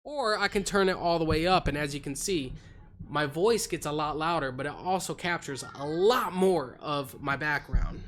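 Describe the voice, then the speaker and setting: Casual man explaining software settings. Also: on the high side, moderate pace, slight background noise. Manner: plain, explanatory, drawls some words. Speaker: streaming tutorial host. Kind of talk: casual screen-recorded tutorial. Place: home streaming setup. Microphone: desktop USB condenser mic.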